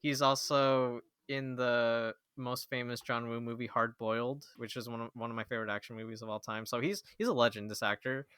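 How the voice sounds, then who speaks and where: A bright, forceful male voice on a movie podcast, recorded close and dry. He gets excitable and trips over restarts as he rushes to make his point. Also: medium pitch, clear, resonant tone, no echo. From Filipino and Chinese heritage. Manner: excitable, stammers and restarts when eager. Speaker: podcast co-host. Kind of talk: casual movie review chat.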